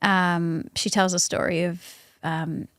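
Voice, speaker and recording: A woman's voice: lowish voice, flat delivery, takes it slowly, fairly bright tone, no echo. Thoughtful Australian woman, dry close mic. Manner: thoughtful, hesitant, many fillers and restarts. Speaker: interview guest, singer. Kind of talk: casual conversational interview.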